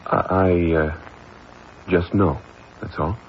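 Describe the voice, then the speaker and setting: A deep-voiced, evasive company heir. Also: male voice, fairly even intonation, slow pace. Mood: defensive, smug. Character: evasive, smug young company heir, accusing his stepmother. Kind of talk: old-time radio drama acting. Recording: muffled old radio recording, faint noise.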